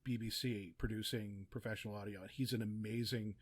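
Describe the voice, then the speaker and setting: A man's voice: fairly low pitch, steady tone, fairly quick, slightly rough. A man speaking casually as a podcast guest, explaining audio production in chatty, meandering asides. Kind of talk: casual interview chat, giving practical advice.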